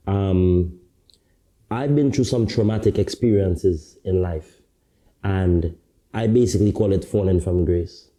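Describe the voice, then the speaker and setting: A man with a low, very dark voice, talking candidly as a podcast guest. He speaks in loose street slang, restarting his sentences and checking in with "you get me" as he goes. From Caribbean. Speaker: guest, artist turned talent manager. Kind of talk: casual podcast interview.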